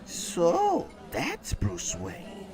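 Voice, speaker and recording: A man's voice: on the high side, huge pitch swings, very slow, exaggerated voice. A man voicing a doting, nosy, coaxing middle-aged mobster mother in a comic book drama.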